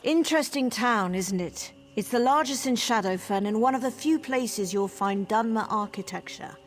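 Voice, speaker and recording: A woman playing a knowing town guide in a video game, measured, informative and a little wry. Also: fairly high pitch, lightly acted. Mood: composed, wry. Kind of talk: video game character exposition.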